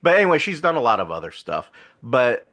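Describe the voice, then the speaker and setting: A man's voice: mid-range voice, very animated, fairly quick, creaky, a bit bright. A man with a Southern US accent co-hosting a casual movie review podcast, laid-back and chuckling as he talks. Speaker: podcast co-host.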